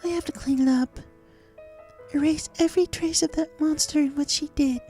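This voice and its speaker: Woman playing a very high-pitched, earnest cartoon pony. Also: steady tone, moderate pace, mellow, soft background music, natural voice. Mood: troubled, earnest. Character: bubbly female cartoon pony, desperately upbeat, haunted. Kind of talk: dramatized diary reading of fan fiction.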